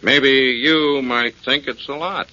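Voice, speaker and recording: A man's voice: mid-range voice, fairly even intonation, slow pace, bright, forceful tone. A man playing a hesitant, guarded carousel owner in a 1940s radio drama. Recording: muffled, hissy 1940s radio broadcast.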